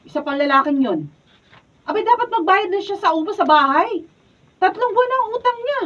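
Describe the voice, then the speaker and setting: A woman's voice: very high-pitched, expressive, brisk, a bit bright. An actress playing a hot-tempered, scolding village chairwoman and landlady in a Filipino radio drama, with an indignant, confrontational delivery. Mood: irritated, indignant. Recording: distant mic, dull lo-fi room recording.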